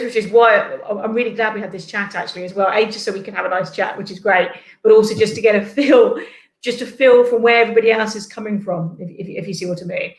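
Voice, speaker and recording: A mid-pitched voice chats casually with a guest speaker's ease, often repeating words at the start of a thought. The sound is roomy and echoey, as on a home video call.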